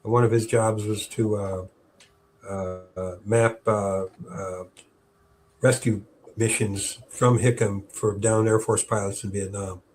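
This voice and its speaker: This is a man in his seventies talking as a guest on a casual interview show. He has a low voice and a rambling delivery, with frequent fillers and restarts. Faint background noise runs under his voice. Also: a little mellow. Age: 70s. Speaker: park historian guest.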